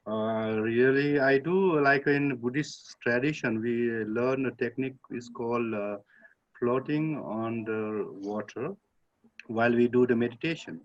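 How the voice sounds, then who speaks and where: Halting man from Nepal, dull audio. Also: medium pitch. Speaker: reading group participant. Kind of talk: casual sharing of personal experience. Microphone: video call. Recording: dull lo-fi video-call audio.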